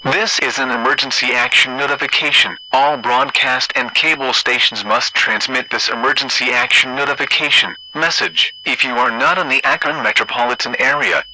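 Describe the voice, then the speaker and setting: A man reading a scripted emergency broadcast announcement in a formal, deliberate manner. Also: medium pitch, pushed and bright, slight background noise, poor audio.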